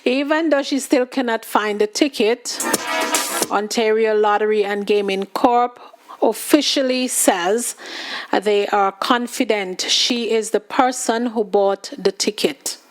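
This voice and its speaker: A woman hosting a show, reading news items in an unhurried way and adding casual asides and fillers. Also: fairly high pitch, low-quality recording. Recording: music playing loudly behind.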